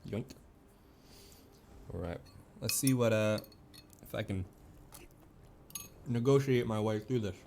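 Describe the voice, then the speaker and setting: A man's voice: lowish voice, takes it slowly, vocal fry, long pauses, darkish tone, slight background noise. A man streaming a video game with casual, playful and sometimes sweary commentary.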